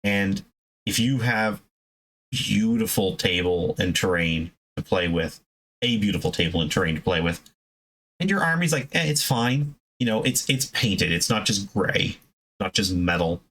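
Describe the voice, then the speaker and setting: A man's voice: lowish voice, lively intonation, measured pace, fairly bright tone. A man giving casual, unscripted hobby advice as a video host, in a conversational, emphatic way that rambles into asides.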